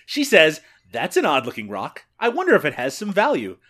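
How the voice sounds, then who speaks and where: Lively Canadian man recapping a movie. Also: fairly high pitch, brisk. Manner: laughs often, recaps scenes. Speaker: podcast co-host. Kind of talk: casual movie review chat.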